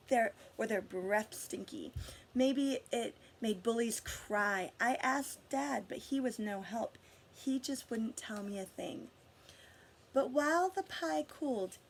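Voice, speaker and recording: A woman with a slightly high voice reads a storybook aloud to children. She stresses key words, stretches some out and speaks directly to her listeners as she goes. The recording is homemade, with faint noise behind her. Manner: expressive storytelling with dramatic emphasis and drawn-out words. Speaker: adult reading a picture book aloud to children. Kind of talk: storybook read-aloud with asides and questions to listeners.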